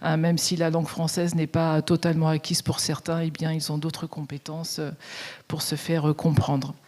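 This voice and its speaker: A woman teacher giving an acceptance speech, explaining calmly with some fillers. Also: sits low, moderate pace, slight background noise.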